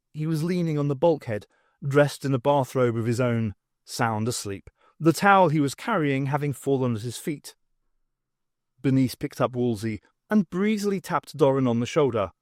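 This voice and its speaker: Male narrator for fiction audiobook: expressive, swooping intonation, very dry close sound. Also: fairly high pitch, no room sound.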